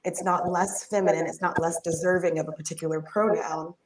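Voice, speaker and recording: A woman host with a deeper voice, interviewing a guest in a warm, affirming way. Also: steady tone, a little fast, rough recording.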